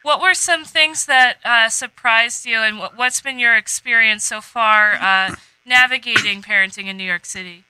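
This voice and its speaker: A woman hosts a podcast in a casual, relaxed way, with plenty of fillers. Her voice is high, bright and smooth. It sounds close and dry. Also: normal speed, few pauses, no echo, rough recording. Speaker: podcast host.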